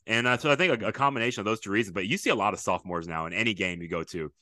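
A man's voice: lowish voice, very fast, bright, forceful tone, dry recording, clean studio sound. A man in his late thirties hosting a casual interview, chatting in a reminiscing way and building long, winding questions. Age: late 30s. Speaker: podcast host, football coach.

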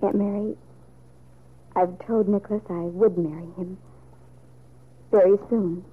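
A thin, phone-like vintage radio recording carries an earnest young woman in a soap opera. She speaks gently and hesitantly at a middle pitch, as if confiding something close to her heart. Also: fairly even intonation, a little slow, slightly rough. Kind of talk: old-time radio soap opera acting.

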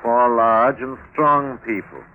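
A man's voice: medium pitch, slow pace, close to an everyday voice. Man playing probing magistrate on old radio. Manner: insistent, clipped questioning. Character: probing, brisk magistrate and psychologist questioning suspects. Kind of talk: 1950s radio drama acting. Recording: old radio recording, faint noise, narrow band.

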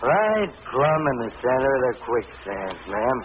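A man's voice: mid-range voice, big pitch swings, measured pace. An actor playing a folksy, casually menacing henchman with a drawling rural American accent in an old-time radio drama. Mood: folksy, teasing. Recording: noisy, tinny vintage radio recording.

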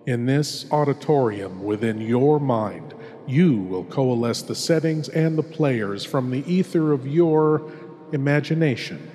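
A man performing as a suave, sinister lord who hosts horror shows, with theatrical, intimate, deliberate narration. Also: mid-range voice, lively intonation, mellow, natural voice. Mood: silky, welcoming menace. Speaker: show host. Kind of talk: scripted horror-host narration. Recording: long hall reverb.

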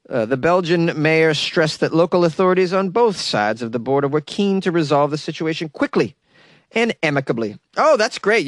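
A man's voice: a little high, no room sound, studio quality. A man hosting a podcast, giving comedic commentary on a news story in a sarcastic, joking way with casual swearing. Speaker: podcast host.

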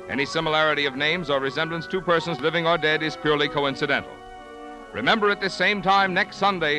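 A man's voice: fairly high pitch, poor audio. An American man announcing in polished, emphatic 1940s radio style, reading scripted sponsor copy.

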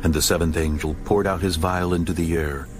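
A man's voice: low-pitched, steady tone, a little fast, over music. A man narrating a dramatized scripture audiobook in a solemn, formal manner.

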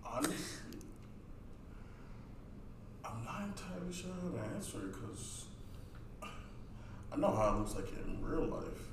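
A man co-hosting a casual podcast, speaking in a reflective, halting way with many restarts. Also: on the high side, big pitch swings. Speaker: podcast co-host. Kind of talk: casual group chat. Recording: distant, echoey room mic with noise.

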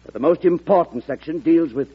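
A raspy, proud war secretary. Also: male voice, on the high side, brisk. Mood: guarded. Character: proud, defiant male American war secretary. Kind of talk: classic radio drama acting. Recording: muffled old radio recording.

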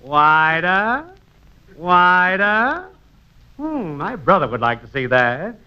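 A man playing a pompous, touchy quack doctor in 1940s radio comedy, deliberate and quick to take offence. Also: high voice, very animated, heavily acted. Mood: brisk, officious. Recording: noisy, muffled old radio broadcast.

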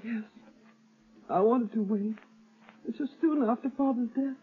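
A woman's voice: fairly high pitch, unhurried, slightly rough, soft background music. A woman playing an anguished young bereaved daughter, plaintive and tremulous, in a 1930s radio melodrama. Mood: grieving, defensive. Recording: tinny 1930s radio recording.

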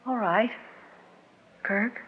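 A woman's voice: on the high side, very slow, background noise. An actress playing a shy, smitten young small-town woman in an old-time radio drama, with hesitant delivery. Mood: nervous longing. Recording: muffled vintage radio recording.

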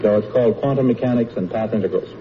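A man answering as a quiz show contestant in a dry, deadpan way. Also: mid-range voice, quick pace. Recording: tinny old broadcast with hiss.

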